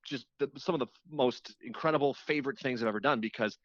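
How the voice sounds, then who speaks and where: A man's voice: mid-range voice, quick pace, fairly bright tone, no echo. A man who hosts radio, chatting casually as an interview guest and often rephrasing himself as he explains. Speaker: radio show host appearing as guest. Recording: dull, muffled lo-fi line.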